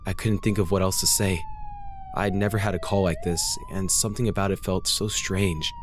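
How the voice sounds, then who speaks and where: Casting: male horror narrator, low voice, animated grave delivery of first-person scripted story. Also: a little fast, noisy background.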